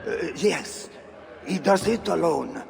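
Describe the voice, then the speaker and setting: An actor playing men of a medieval church in a video game cutscene. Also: male voice, a little high, expressive, takes it slowly, creaky, darkish tone, full character voice. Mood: nervous.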